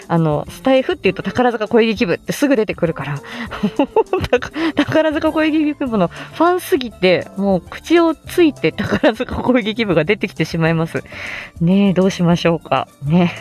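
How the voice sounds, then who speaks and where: A woman's voice: medium pitch, a little mellow. A woman rambling casually to her listeners as a stream host, giggly and self-deprecating. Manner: giggly, self-deprecating, laughs often at herself.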